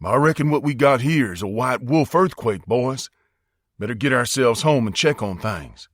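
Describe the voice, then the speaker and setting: A man voicing a calm, plain-spoken rural American man in an audiobook, with an unhurried folksy drawl. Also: medium pitch, no echo, studio quality, close to an everyday voice. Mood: steady, grave.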